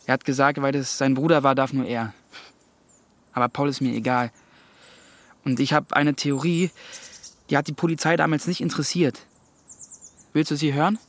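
A man's voice: medium pitch, fairly even intonation, moderate pace, pauses often, dry recording, close to an everyday voice. A young male actor playing a curious, stubborn teenage boy in naturalistic radio drama, sounding youthful and thoughtful. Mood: guarded, matter-of-fact.

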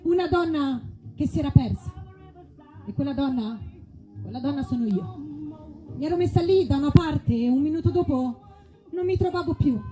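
A very high-voiced comedienne playing a fervent woman preacher, exalted and theatrical. She declaims and repeats her phrases like a stage sermon, with music playing underneath. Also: warm tone, close to an everyday voice, poor audio. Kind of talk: live comic stage monologue with sung salutations.

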